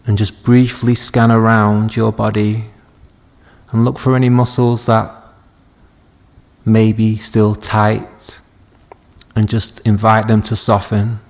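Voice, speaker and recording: A man leading a guided meditation as a teacher, speaking gently and soothingly with long unhurried pauses. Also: fairly low pitch, flat delivery, vocal fry. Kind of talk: guided body scan meditation.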